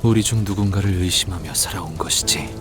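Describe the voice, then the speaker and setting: A man's voice: deep voice, a little fast, over music, natural voice. An actor playing a weary, desolate man who speaks in solemn, archaic, literary phrases for a dramatic game. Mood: somber, bitter.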